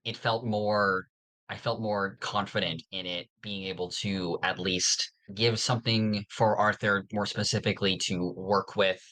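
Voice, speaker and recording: A man's voice: on the low side, steady tone, measured pace, pushed and bright. A man co-hosting a podcast in casual discussion, thoughtfully explaining his reasoning. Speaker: podcast co-host.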